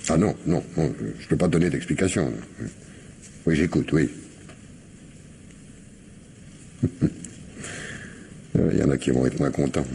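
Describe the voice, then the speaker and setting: An actor playing a shrewd, probing police commissioner in a radio mystery, with a colloquial, wry manner. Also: male voice, rumbling low, dark tone, a little hiss or hum. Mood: businesslike. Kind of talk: French radio mystery play acting.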